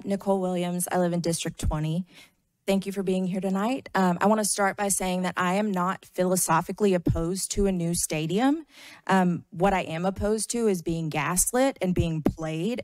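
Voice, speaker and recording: A woman's voice: fairly low pitch, steady tone, moderate pace, a little mellow. A young woman giving a blunt, frank public comment at a podium microphone in a large meeting hall.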